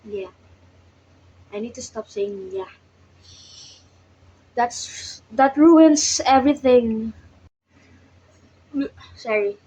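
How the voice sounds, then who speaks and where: A woman's voice: on the high side, drawn-out words, long pauses, warm tone, slightly rough, low-quality recording. A young woman in her twenties with a Filipino accent, talking to herself in a casual vlog to practice English, hesitant and self-conscious, sleepy. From Philippines. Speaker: vlogger. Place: small workplace counter, indoors. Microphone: phone held nearby.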